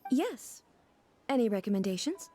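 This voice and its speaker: A woman playing a composed, regal and curious divine ruler in an anime video game, speaking formally and with measured care. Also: on the high side, big pitch swings, mellow, faint music behind. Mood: calm.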